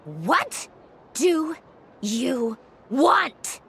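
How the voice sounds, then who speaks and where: Haughty prickly game girl, highish voice that swings all over, slow curt delivery. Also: very animated, noisy background, heavily acted. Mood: curt, guarded. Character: aloof, prickly young woman hiding her feelings. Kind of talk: video game character acting.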